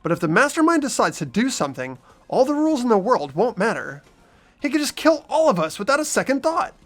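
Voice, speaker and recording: A man's voice: extremely high pitch, sing-song, brisk, a bit bright, faint music behind, full character voice. A man voicing an earnest, anxious teenage schoolboy who narrates his own story in a visual novel game, expressively. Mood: worried.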